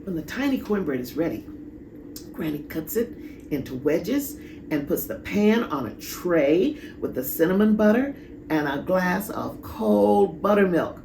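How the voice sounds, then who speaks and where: Slow, expressive woman reading a storybook. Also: lowish voice. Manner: dramatic, deliberate, pausing. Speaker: storybook reader. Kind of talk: expressive children's read-aloud. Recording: echoey room, clear background noise.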